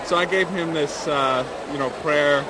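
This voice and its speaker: A young man talks casually in an interview. His voice is somewhat higher than most men's, and steady background noise from the venue surrounds it. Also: moderate pace. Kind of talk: casual chat. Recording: noisy venue, hum and rumble behind.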